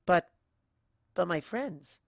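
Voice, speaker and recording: A man's voice: sits high, animated, takes it slowly, gravelly, no room sound, clean studio sound, pitched above the performer's own voice, heavily acted. A man voicing a troubled but resolute female pony heroine in a dramatic story reading. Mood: wounded, doubtful. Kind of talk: dramatic fan fiction reading.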